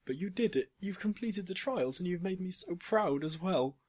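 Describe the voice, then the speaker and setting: A man's voice: high voice, expressive, normal speed, a little mellow, slightly rough, pitched above the performer's own voice. A man voicing a devoted, proud father who speaks passionately in a story. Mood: proud, emotional. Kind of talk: audiobook character dialogue.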